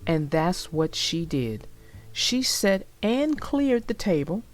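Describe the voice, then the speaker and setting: Slow, expressive woman reading a storybook. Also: fairly low pitch, soft background music. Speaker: storybook narrator. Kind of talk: children's read-aloud narration.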